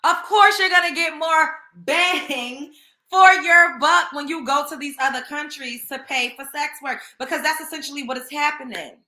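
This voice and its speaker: A woman's voice: high-pitched, normal speed, bright, forceful tone, roomy sound. A young woman, hosting a show and giving a blunt, scolding commentary monologue that repeats its points. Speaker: show host.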